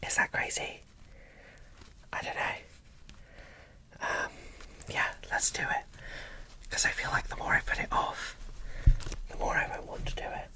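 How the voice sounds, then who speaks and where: A host speaking in a breathy whisper into a close microphone, giving casual, enthusiastic ASMR unboxing commentary. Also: measured pace, long pauses, pushed and bright.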